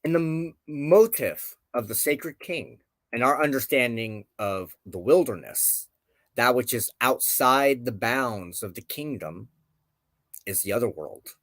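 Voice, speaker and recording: A man hosting a show, giving reflective commentary on folklore and myth with deliberate, emphatic phrasing. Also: medium pitch, lively intonation, a bit bright, no echo. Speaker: show host.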